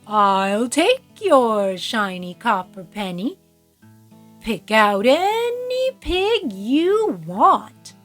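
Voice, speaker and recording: Gruff farmer guy, low drawl, greedy, storybook telling, a lady doing the voice. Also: sing-song, drawn-out words, a bit bright, soft background music, full character voice. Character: gruff middle-aged male pig farmer.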